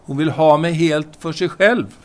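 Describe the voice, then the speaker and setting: An actor playing a self-satisfied, evasive French writer in army service, voicing a letter in an audio drama in a gravelly, hesitant, formal way. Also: male voice, a little high, a little mellow, lightly acted. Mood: awkward hesitation. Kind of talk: audio drama monologue, letter read aloud.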